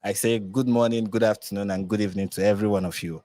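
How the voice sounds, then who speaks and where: A low-voiced man from Nigeria hosts a live stream in casual chat. He rambles, restarts his sentences and leans on fillers. The sound is dry and close. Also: steady tone, quick pace, mellow, no room sound. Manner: rambling, many fillers and restarts, laughs often.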